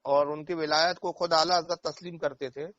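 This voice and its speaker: A man's voice: fairly high pitch, steady tone, a little fast, fairly bright tone, no echo. A man speaking as an Islamic cleric on a phone call, explaining religious matters and anecdotes in an emphatic way, repeating key phrases. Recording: dull, dry phone call recording.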